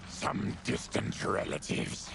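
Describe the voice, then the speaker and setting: An actor playing a stern, honorable monstrous warrior in a video game, gravelly and growling, terse and solemn. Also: male voice, takes it slowly, fairly bright tone, slight background noise. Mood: grave, calm. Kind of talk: dramatic video game acting.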